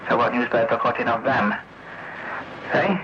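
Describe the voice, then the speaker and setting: A man holds forth on CB radio through loud noise and a dull, muffled tape sound. His voice sits at a medium pitch. He is accusatory and repetitive, swearing and pressing his listeners with challenging little questions. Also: moderate pace. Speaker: CB radio operator. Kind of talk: rambling confrontational monologue over CB radio.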